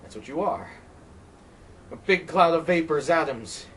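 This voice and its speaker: A man's voice: expressive, measured pace, recorded from a distance. A man voicing a frantic, terrified man who rages and pleads against the wind. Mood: feverish. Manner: shrill, shouting, panicked.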